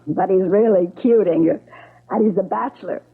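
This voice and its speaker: A woman's voice: mid-range voice, slightly rough. An actress playing a nosy, practical female friend in an old-time radio drama, probing and incredulous. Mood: coaxing. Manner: probing, incredulous, brisk opinions. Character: nosy, practical girlfriend pressing for confidences. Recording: thin phone-like old radio recording.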